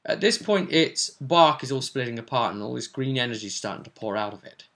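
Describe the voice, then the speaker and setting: A man's voice: mid-range voice, animated, a little fast, fairly bright tone. A man chatting casually as a game master, recapping a tabletop role-play session and acting out bits as he goes.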